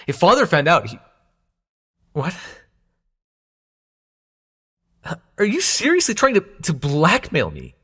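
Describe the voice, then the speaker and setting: A man's voice: on the high side, a bit bright, exaggerated voice. A man acting in an intimate audio roleplay as a young god of dreams, sarcastic and wounded but fond, talking in casual, sweary modern slang. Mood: flustered, bantering.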